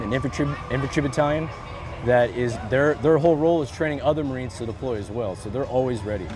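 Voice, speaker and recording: Man, street interview, plain-spoken, restarts often, slightly high voice, music in background. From California. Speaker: protester interviewed at a rally. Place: outdoors on a city street.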